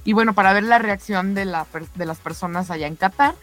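A woman's voice: medium pitch, a little fast, a bit bright. A Mexican woman co-hosting a radio show, giving a conversational sports report and chatting directly to her colleagues. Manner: chatty, addresses co-hosts directly. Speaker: radio show co-host presenting sports news. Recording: music under the voice. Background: music.

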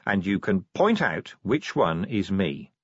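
A man voicing a short-tempered police commander in a storybook, gruff, blunt and sarcastic. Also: low voice, very animated, slow pace, no echo, lo-fi sound, full character voice. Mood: dry, sardonic.

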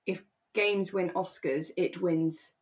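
Female podcast co-host, lowish register, informal and expressive, reviewing drama with a friend. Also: slow pace. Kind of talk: casual chat reviewing a radio drama.

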